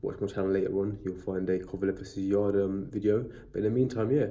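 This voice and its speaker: A man's voice: deep voice, steady tone, fast, warm tone, dull audio. A man with a stuffy, sniffly cold, chatting casually and rambling through a boxing news video on a built-in mic. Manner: chatty, rambling, many fillers and restarts. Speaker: boxing vlogger. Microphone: built-in device mic, no external mic.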